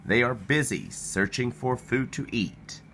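Slow, animated man reading to children. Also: mid-range voice, creaky, slight background noise. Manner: animated, with playful exclamations and sound effects. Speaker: picture-book reader. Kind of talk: expressive children's read-aloud storytelling.

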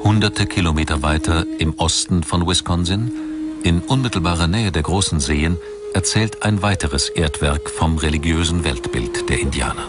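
A man with a very low voice reads scripted documentary narration. His delivery is measured and formal. Music plays clearly behind him.

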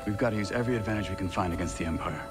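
A man's voice: low-pitched, racing, over loud music, close to an everyday voice. An actor playing a friendly, practical male freelancer ally in a video game, with an easygoing, conversational delivery. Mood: friendly, persuasive. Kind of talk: cinematic video game acting. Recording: long hall reverb, game score behind.

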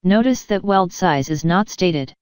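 Clear, low-pitched woman teaching steadily. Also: dry recording. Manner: measured, even explanatory delivery. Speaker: instructor. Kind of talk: scripted technical narration.

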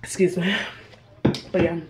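A woman's voice: fairly low pitch, little pitch movement, unhurried, slight background noise. A young woman vlogging at home, rambling chattily to her viewers while fighting a cough, filmed on a phone held at arm's length. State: coughing with a sore throat. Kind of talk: casual haul vlog. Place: small room at home, indoors. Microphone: handheld phone camera at arm's length.

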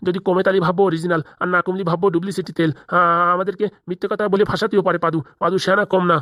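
A woman's voice: on the low side, level tone, rapid-fire, a bit bright, dry recording, clean studio sound, lightly acted. A woman voicing a timid, anxious cartoon man, a lawyer who pleads and frets in a rural Bengali accent. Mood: persuading. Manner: pleading, fretful, stammering. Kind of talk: comic cartoon dialogue.

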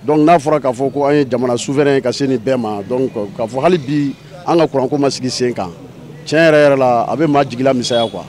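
A man in his thirties answering a street interview outdoors, measured and gesturing as he speaks into a handheld mic. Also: mid-range voice, a little mellow. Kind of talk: vox pop answer. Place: outdoors by parked cars. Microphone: handheld mic held by interviewer.

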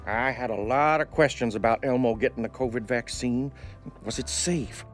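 A man voicing a caring furry monster father who talks calmly and reassuringly on a children's show. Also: mid-range voice, moderate pace, soft background music, natural voice. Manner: calm, reassuring. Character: gentle furry monster dad, warm and caring. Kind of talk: children's TV puppetry.

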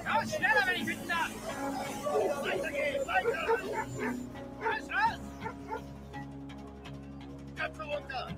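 An actor dubbing a male soldier yelling orders at prisoners. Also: extremely high pitch, sing-song, slow, pauses often, over loud music, over a noisy crowd, exaggerated voice. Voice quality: shouting commands. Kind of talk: German film dub.

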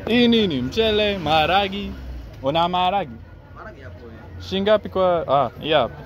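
A man's voice: high-pitched, lively intonation, moderate pace. A man hosting a casual vlog, chatting and switching between Swahili and English. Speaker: vlog host. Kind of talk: casual chat. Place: outdoor roadside market stall. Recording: noisy street market with passing traffic.

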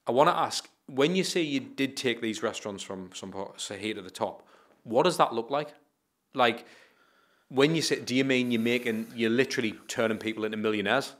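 A man in his thirties from England, hosting a podcast, chatty and enthusiastic, talking into a studio mic in a dark studio. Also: mid-range voice, fairly quick. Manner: enthusiastic, chatty, says mate. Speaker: podcast host. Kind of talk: casual interview talk. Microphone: studio mic on boom arm.

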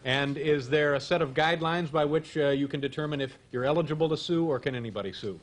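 A man's voice: on the high side, quick pace. A man in his thirties hosting a late-night comedy show, joking in a dry, mock-serious way at his desk in a TV studio. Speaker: talk show host. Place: TV studio stage before an audience. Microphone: desk microphone.

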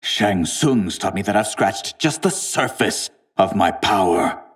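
An actor playing a proud, ambitious sorcerer warrior in a fighting video game, haughty and theatrical, with a husky voice. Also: male voice, fairly low pitch, animated, unhurried, no room sound, studio quality. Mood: cool, assured. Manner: haughty, imperious, theatrical delivery. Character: proud, ambitious male sorcerer warrior who commands water.

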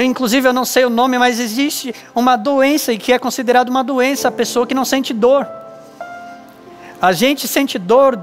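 A man in his thirties with a Brazilian Portuguese accent, a pastor giving an earnest, measured sermon with emphatic pauses on a church stage. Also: very high-pitched. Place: dark church stage with lights, indoors.

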